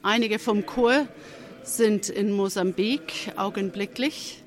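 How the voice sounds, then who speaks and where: A speaker with a cold gives a testimony at a church service, slowly and with care. The delivery halts often, with phrases begun again, and then builds to fervent emphasis on key points. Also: mid-range voice, a crowd in the background. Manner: halting, with frequent restarts and pauses. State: has a cold.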